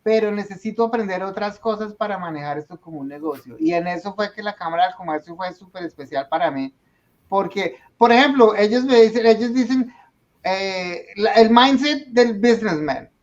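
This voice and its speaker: A man's voice: high-pitched, normal speed. A man, a small business owner telling his story in a casual podcast conversation and acting out the voices of the people in it. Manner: animated storyteller, acts out quoted dialogue. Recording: dull lo-fi sound.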